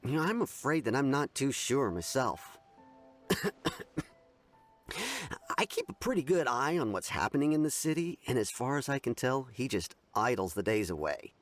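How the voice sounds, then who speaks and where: Laid-back gossiping townsman for a game , male actor, average-pitched, very animated, conversational and hesitant. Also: normal speed, faint music behind, close to an everyday voice. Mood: musing. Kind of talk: video game dialogue.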